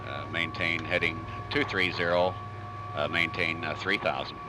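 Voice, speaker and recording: A man with a low voice issues clipped air traffic instructions over the radio. Loud noise covers the transmission. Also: fairly even intonation, normal speed.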